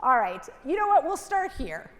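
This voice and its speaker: A woman's voice: high voice, sing-song, moderate pace. A woman hosting a casual on-stage interview, chatty and informal, bantering and interjecting. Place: large conference hall, on stage. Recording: long hall reverb.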